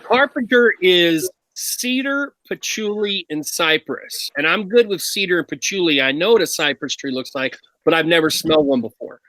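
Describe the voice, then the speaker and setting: A man's voice: on the high side, lively intonation, normal speed, few pauses, pushed and bright, low-quality recording. A man hosting a casual review chat on a video call, enthusiastic, drawing out his phrases. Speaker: show host, reviewer.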